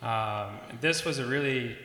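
A young man on a student panel, telling stories in a relaxed, casual way with plenty of filler words. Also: mid-range voice, measured pace. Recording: echoey hall with faint noise.

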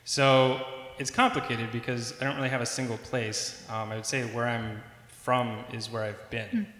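A young man on a student panel, telling stories in a relaxed, casual way with plenty of filler words. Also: mid-range voice, moderate pace. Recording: echoey hall with faint noise.

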